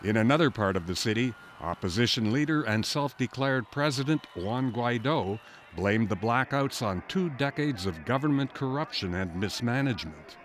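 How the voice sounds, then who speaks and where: A man's voice: mid-range voice, expressive, moderate pace. Male news reporter over faint noise. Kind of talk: scripted news narration.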